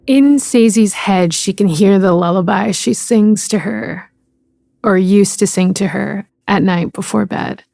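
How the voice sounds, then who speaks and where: A woman's voice: mid-range voice, a little slow, vocal fry, dark tone, dry recording. A woman playing a tabletop game, describing her character in a storytelling style, close on the microphone.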